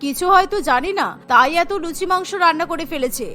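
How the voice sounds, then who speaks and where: Casting: woman as middle-aged cartoon mother-in-law, bossy but indulgent, very high and bright. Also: quick pace, soft background music. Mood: surprised, indulgent. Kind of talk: cartoon voice acting.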